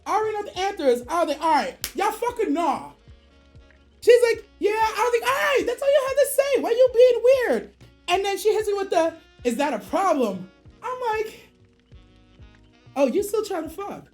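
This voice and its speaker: High-pitched, animated woman storyteller. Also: moderate pace, soft background music. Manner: profane, slangy, acts out dialogue. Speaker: streamer.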